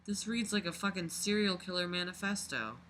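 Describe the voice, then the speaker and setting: An expressive, exclamatory reader doing a dramatic read-aloud of a novel, breaking off into mocking commentary and swearing. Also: medium pitch, a little fast, slight background noise, rough recording.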